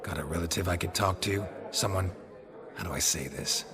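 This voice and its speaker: A world-weary monster hunter in a fantasy game, with a rock-bottom deep voice. He is gruff and sardonic, delivering dry wit, with music clearly behind him. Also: normal speed. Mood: dry, wry. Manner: deadpan, laconic, sardonic.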